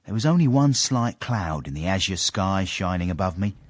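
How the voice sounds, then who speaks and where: An actor playing a smug, courteous British manservant turned gentleman, telling his story in a genteel, formal way in a radio period drama. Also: low-pitched, lively intonation, normal speed, no room sound, clean studio sound. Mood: smug storytelling. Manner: genteel, self-satisfied, formal wording. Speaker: narrator and lead character.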